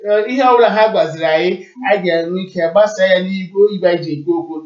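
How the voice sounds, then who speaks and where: Male Igbo Nigerian talk host, high voice, formal announcing delivery, heard through a distant, muffled stream. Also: fairly even intonation, racing, a little mellow. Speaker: radio call-in show host. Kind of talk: live broadcast announcing and answering callers. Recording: echoey, distant, muffled internet radio stream.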